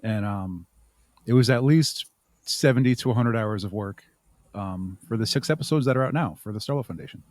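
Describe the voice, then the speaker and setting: A man's voice: on the low side, fairly quick, darkish tone, no echo. Man chatting casually, close dry sound. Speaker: podcast guest and audio drama creator. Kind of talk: casual interview talk.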